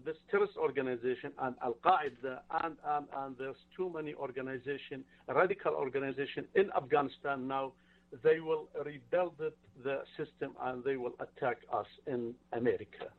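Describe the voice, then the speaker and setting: Man, English, earnest and halting, tinny faraway phone line. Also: fairly high pitch, recorded from a distance. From Iraq. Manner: halting, earnest, non-native phrasing. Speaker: caller. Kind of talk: phone-in call to a talk show.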